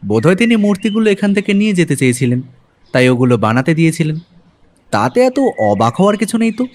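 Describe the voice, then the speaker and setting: A man playing a detective's curious male companion in an audio drama, asking questions in an eager, animated way. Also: a little high, fast talker, a little hiss or hum, pitched above the performer's own voice. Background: background music.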